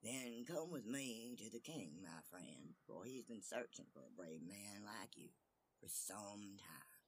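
A man's voice: lowish voice, expressive, takes it slowly, breathy edge, heavily acted. A man voicing a wise old prime minister of a fairy-tale court, formal and courteous. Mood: courteous, inviting.

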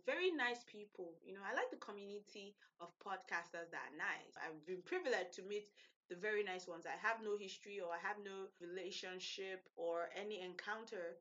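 Bright-voiced Nigerian woman telling a story. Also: medium pitch, brisk. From Nigeria (Edo State). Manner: animated, laughs often, acts out voices in her head. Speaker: podcast host. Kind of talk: casual solo storytelling. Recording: dull lo-fi podcast audio.

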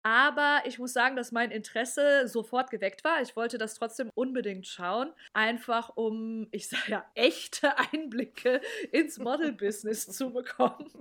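Woman in her thirties, co-host, self-mocking storyteller with a slightly high voice. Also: a little slow, few pauses, a bit bright. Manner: candid storytelling, laughs at herself. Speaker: podcast co-host. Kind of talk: casual chat.

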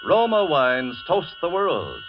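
A man delivers formal 1940s radio announcements very slowly, with sweeping intonation. The old recording is dull and has music behind it. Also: fairly high pitch, wildly swooping pitch.

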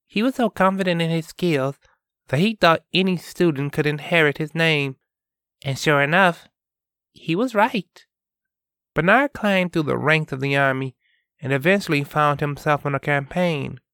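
A man voicing a young woman who explains history in a measured way. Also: on the high side, fairly bright tone, clear, resonant tone, no echo, clean studio sound, voice pushed up. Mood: explaining. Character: knowledgeable young woman, lore teller.

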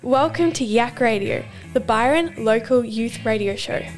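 A woman's voice: on the high side, moderate pace. A teenage girl with an Australian accent reading a scripted introduction as host of a youth radio show. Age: teens. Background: music.

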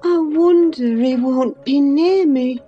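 A woman voicing a feverish, dying young woman who is passionate and yearning, speaking high and pleading. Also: slow pace, dull audio, soft background music.

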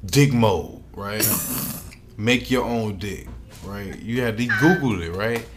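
A man's voice: lowish voice, lively intonation, takes it slowly, very noisy. A man with an American accent co-hosting a podcast in casual, profane, slangy banter. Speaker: podcast co-host.

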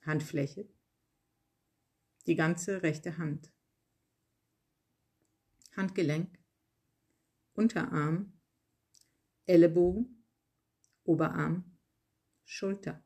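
A yoga nidra guide reading a scripted relaxation in calm, measured short phrases with pauses. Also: medium pitch, breathy edge. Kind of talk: slow guided relaxation meditation, read from a script.

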